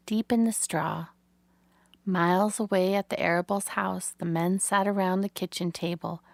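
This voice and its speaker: A woman's voice: mid-range voice, creaky, no room sound. A woman narrating a children's storybook as an audiobook reader, with scripted prose.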